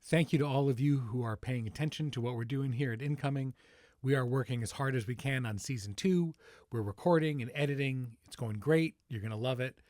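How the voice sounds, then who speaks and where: A man's voice: medium pitch, fairly quick, darkish tone, no echo, clean studio sound. A man hosting a podcast, speaking informally and directly to his listeners in an outro. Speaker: podcast host.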